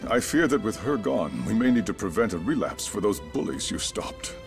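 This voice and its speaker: A low, animated voice for a courteous, concerned school chairman. His speech is formal, as in a video game cutscene, and clear music sits behind it. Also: moderate pace. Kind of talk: English game dub dialogue.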